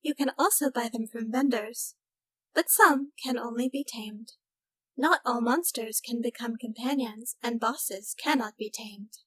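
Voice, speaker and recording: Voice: mid-range voice, a little slow, a bit bright, no echo, voice pushed up, poor audio. A narrator voicing a cheerful, sweet young girl gamer in a fantasy audiobook. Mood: informative. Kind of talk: audiobook character acting in a fantasy story.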